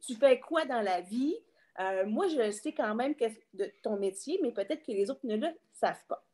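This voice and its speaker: Chatty Quebec woman hosting, slightly high voice. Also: lively intonation, normal speed, a little mellow. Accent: Quebec French. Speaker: interviewer and host. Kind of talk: casual online interview.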